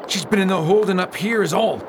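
A man's voice: high voice, brisk, noisy background, lightly acted. An actor playing a grave, superstitious pirate crewman with ominous archaic talk in a radio drama.

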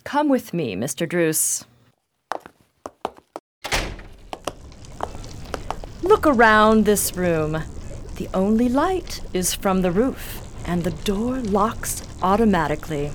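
A woman's voice: mid-range voice, very animated, measured pace, a little hiss or hum. A woman playing a cunning, self-assured villainess in a radio drama, with a poised, theatrical, insinuating manner. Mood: silky, teasing. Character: cunning, silky, self-assured villainess, a beauty specialist with secrets.

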